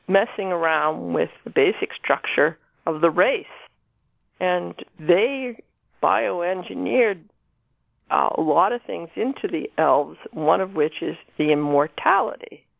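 A woman's voice: fairly low pitch, vocal fry, dry recording. A woman author being interviewed, talking casually about her own work. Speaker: guest author discussing own novel. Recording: narrow phone-like line.

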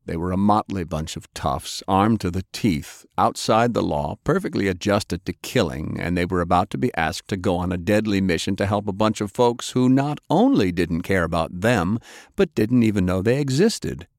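Guy narrating an adventure audiobook, dramatic scripted read, lowish voice, very dry sound. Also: expressive, normal speed, dry recording.

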